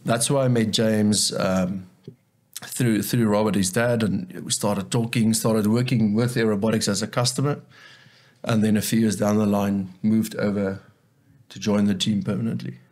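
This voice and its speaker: A man's voice: on the low side, little pitch movement, moderate pace, a little mellow. A South African man whose first language is Afrikaans, speaking earnestly as a guest in a casual interview and often repeating words as he thinks. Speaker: guest, agronomist.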